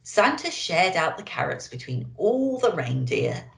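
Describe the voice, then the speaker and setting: Casting: female children's storyteller, very expressive, lowish pitch, unhurried, deliberate delivery. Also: vocal fry, roomy sound. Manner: deliberate, animated, addressing children. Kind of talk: expressive picture-book reading for children.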